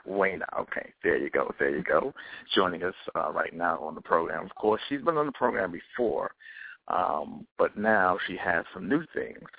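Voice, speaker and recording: A man hosting a casual radio interview, relaxed and often repeating words as he finds his phrasing. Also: low-pitched, normal speed, vocal fry, breathy edge, no room sound. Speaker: radio show host. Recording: dry, tinny phone-like line.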